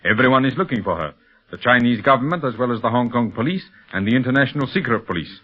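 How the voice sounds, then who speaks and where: An actor playing a cold, imperious criminal mastermind in a 1930s radio serial, speaking formally and deliberately. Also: male voice, mid-range voice, animated, breathy edge. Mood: scheming, commanding. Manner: deliberate, commanding, formal diction. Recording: dull 1930s radio recording.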